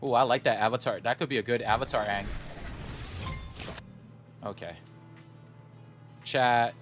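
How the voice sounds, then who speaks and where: A man's voice: mid-range voice, fairly even intonation, measured pace, music in the background. A man whose first language is Somali, chatting casually and upbeat with his live-stream audience while gaming. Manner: chatty, upbeat, talks straight to chat.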